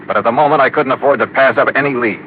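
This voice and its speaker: Tinny vintage radio sound, male actor as hard-boiled American detective, mid-pitched, clipped, dry, wry narrator. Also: racing, a little hiss or hum, natural voice. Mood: dry, matter-of-fact. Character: tough, wry male insurance investigator narrating his case. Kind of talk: 1950s radio detective drama acting and narration. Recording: tinny old radio recording with music.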